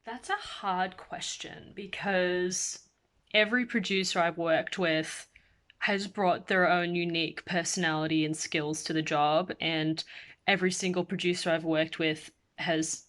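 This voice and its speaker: A young woman whose accent drifts between Australian and American, talking in a chatty, upbeat way. Her voice sits a little low for a woman. She is a singer-songwriter casually answering fan questions. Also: fairly even intonation, a little slow, a bit bright. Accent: mixed Australian and American.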